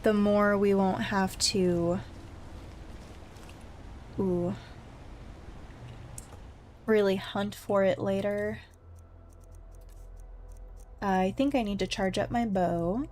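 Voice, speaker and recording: A woman's voice: mid-range voice, fairly even intonation, very slow, pauses often, a little mellow, a little hiss or hum. A woman giving casual, chatty gameplay commentary, thinking aloud with playful little exclamations. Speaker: gamer streaming a blind play-through.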